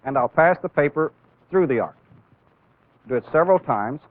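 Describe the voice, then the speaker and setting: A man with a somewhat high-set voice teaches in the manner of an old training film. He explains deliberately, one step at a time, and puts weight on key terms. The sound is thin and phone-like, with a dry, echo-free closeness. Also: lively intonation. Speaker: instructor in a military training film. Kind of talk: instructional demonstration lecture.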